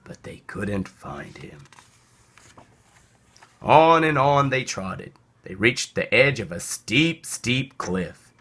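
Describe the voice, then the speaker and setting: A man narrates a children's picture book with a bright, lively voice. He reads slowly and deliberately, with theatrical swings of emphasis for young listeners. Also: medium pitch. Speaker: storybook reader. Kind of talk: expressive children's read-aloud narration.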